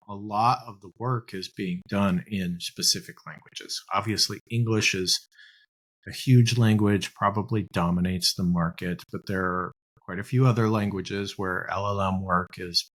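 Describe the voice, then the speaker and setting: A man hosting a podcast interview, talking in a relaxed, musing way and often adding "right?". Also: deep voice. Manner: conversational, musing, asks rhetorical "right?". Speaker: podcast host.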